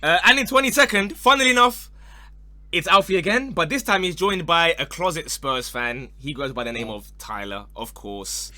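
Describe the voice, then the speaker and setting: A man's voice: on the high side, animated, moderate pace, sharp tone, no echo. A man hosting a casual football panel, slangy and teasing in his delivery. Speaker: podcast host.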